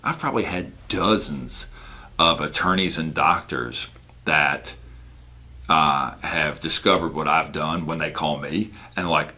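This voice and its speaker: A man's voice: a bass voice, measured pace, bright, forceful tone. A man working as a real estate broker, explaining his work in a casual, emphatic, conversational way. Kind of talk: casual explanatory talk about own work.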